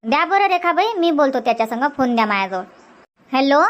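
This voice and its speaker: Cartoon village aunt, acted by a woman: high voice, Varhadi Marathi accent, bossy and hospitable, nags by repeating herself. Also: sing-song, quick pace. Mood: firm, scolding. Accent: Varhadi Marathi from Vidarbha. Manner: insistent, repetitive, scolding. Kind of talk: Marathi cartoon comedy dialogue.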